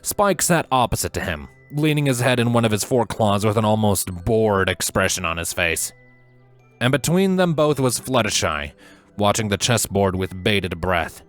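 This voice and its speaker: A man's voice: lowish voice, lively intonation, moderate pace, faint music behind. A man reading a scripted story aloud as the narrator. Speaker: fan fiction narrator.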